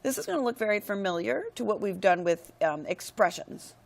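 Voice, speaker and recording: A woman's voice: mid-range voice, brisk, creaky. A woman math teacher who is losing her voice walks through a screen-recorded lesson step by step. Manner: steady, step-by-step explaining with frequent "all right, go ahead".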